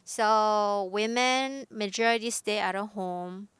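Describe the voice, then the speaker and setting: Woman, very clear voice, hesitant simple phrasing. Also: mid-range voice, takes it slowly, fairly bright tone, no room sound. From Japan. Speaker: panel guest. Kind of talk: casual discussion.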